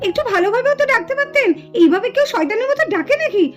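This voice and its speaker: A woman acts out an irritable young aunt from a doll cartoon in an extremely high-pitched voice. She scolds very quickly and dramatically, proud and easily rattled. Faint music plays behind her. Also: animated. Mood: indignant. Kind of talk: exaggerated Bengali doll-play cartoon acting.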